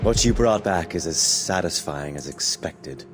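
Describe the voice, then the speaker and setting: A man playing a grave, insinuating villain in a video game, speaking formally and in measured phrases. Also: low voice, animated, noisy background. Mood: smooth, insinuating.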